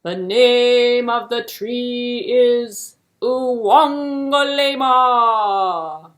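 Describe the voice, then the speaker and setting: A woman voicing a grand, solemn king in a puppet-show folktale, proclaiming loudly and slowly in a stately manner. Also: fairly high pitch, pitched above the performer's own voice. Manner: loud, slow, stately proclaiming. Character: grand, booming king of an African village, solemn.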